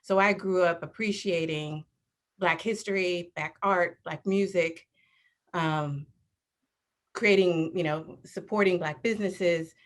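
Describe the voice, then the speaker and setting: Wanita dengan suara agak rendah, panggilan video. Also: female voice, fairly low pitch, steady tone, slow. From Detroit. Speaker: panelist. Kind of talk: introducing herself on a video call. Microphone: video call.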